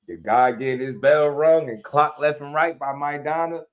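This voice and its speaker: A man hosting a casual boxing talk show, animated and slangy, breaking into laughs as he riffs on fights. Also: fairly high pitch. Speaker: boxing podcast host.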